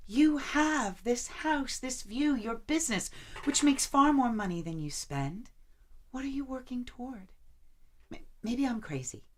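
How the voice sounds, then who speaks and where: An actress playing an anxious, lovelorn woman pleading with a distant boyfriend in a naturalistic audio drama, halting and hesitant, often repeating her words. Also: fairly high pitch, expressive. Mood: pressing, frustrated.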